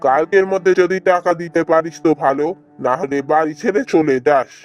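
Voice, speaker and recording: A harsh cartoon landlord, voiced by a man, with a fairly high male pitch. He is stern and domineering, speaking as a village boss in a fairy tale. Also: brisk, dull audio, soft background music, close to an everyday voice. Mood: stern, threatening.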